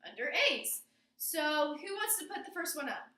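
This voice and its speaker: A woman teaches a class in a high, bright voice, guiding it with prompting questions and poetry read aloud. The room around her echoes noticeably. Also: sing-song, moderate pace. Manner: instructing, asks prompting questions. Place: indoor classroom. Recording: roomy, echoing classroom sound.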